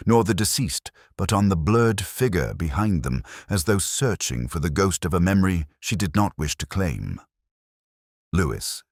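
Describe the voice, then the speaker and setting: Very deep-voiced man narrating a mystery. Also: darkish tone, breathy edge, dry recording, clean studio sound. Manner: measured, formal, reflective. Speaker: narrator of a detective story. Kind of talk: scripted literary mystery narration in first person.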